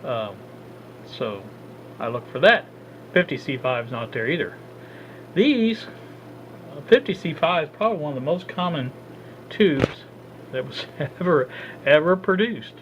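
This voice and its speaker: A man demonstrating his work at a home workbench, narrating casually as he goes and often pausing with a halting "uh" while he thinks aloud. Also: a little high. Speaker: hobbyist radio restorer. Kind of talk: casual hands-on demo narration. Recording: dull lo-fi with steady background noise.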